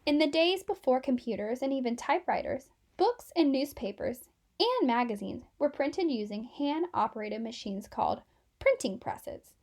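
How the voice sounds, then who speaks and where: A woman reading a children's book aloud as an expressive, scripted narrator. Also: high voice, moderate pace. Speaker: children's story reader.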